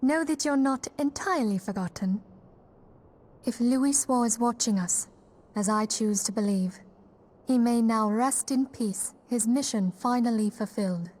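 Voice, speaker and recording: A woman's voice: fairly high pitch, a little slow, darkish tone. An actress playing a kindly, earnest young female leader in a fantasy game, speaking with poised, formal sincerity. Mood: tender, solemn. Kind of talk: fantasy video game voice acting.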